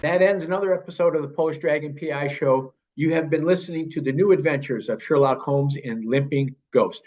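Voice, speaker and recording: A man's voice: on the high side, brisk, a little mellow, a little hiss or hum. A man hosting a podcast, reading a scripted show outro. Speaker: podcast host. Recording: slightly dull, muffled recording.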